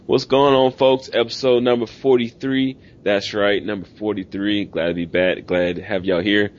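Laid-back low-voiced podcast host. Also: male voice, steady tone, normal speed, highs cut off. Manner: laid-back slang, rambling with fillers. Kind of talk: casual podcast chat. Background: music.

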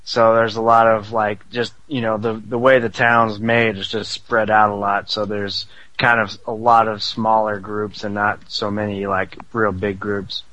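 Man, podcast co-host, joking, rambling, bright tense tone, lowish pitch. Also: level tone, measured pace, few pauses, no room sound, low-quality recording. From Spokane area, US Northwest. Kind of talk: casual podcast chat.

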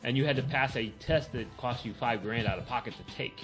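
A man in a casual podcast chat with a middle-pitched voice who talks fast and rambles through his stories. Music plays under the conversation. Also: a bit bright. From grew up partly in Germany. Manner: chatty, tells stories, spells things out.

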